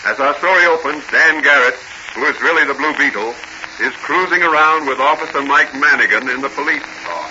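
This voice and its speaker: A man's voice: on the high side, a little fast, noisy background, lightly acted. A man narrating a crime-fighter radio serial as a dramatic, declamatory announcer in 1940s radio style. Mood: brisk, grave. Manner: theatrical, declamatory. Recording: muffled old 1940s radio recording, music behind.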